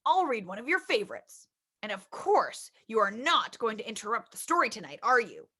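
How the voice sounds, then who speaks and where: Woman playing patient, sleepy papa chicken, pitched down. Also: very animated, moderate pace, breathy edge, full character voice. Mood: tender. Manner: gently scolding, patient. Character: sleepy, patient father chicken, weary of interruptions. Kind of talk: storybook character voice.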